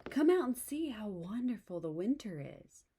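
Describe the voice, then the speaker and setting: A woman voicing a cheerful, eager male frog in a storybook, encouraging his friend with bright exclamations. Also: mid-range voice, sing-song, moderate pace, slightly rough, pitched above the performer's own voice.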